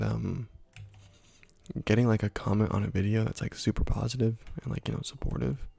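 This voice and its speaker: Soft, gravelly ASMR gamer man. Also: fairly low pitch. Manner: rambling, many fillers, drifts into whispers. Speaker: ASMR gaming channel host. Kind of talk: soft-spoken ASMR gameplay commentary. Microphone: close microphone.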